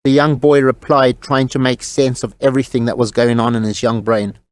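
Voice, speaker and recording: A man's voice: mid-range voice, little pitch movement, moderate pace, dry recording. Male drama narrator, close dry sound. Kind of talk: scripted audiobook-style drama narration.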